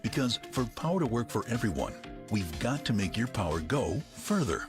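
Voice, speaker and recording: A man's voice: lowish voice, very animated, moderate pace. A man with an American accent narrating a scripted corporate advertisement. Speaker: commercial voice-over narrator. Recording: music bed under voice.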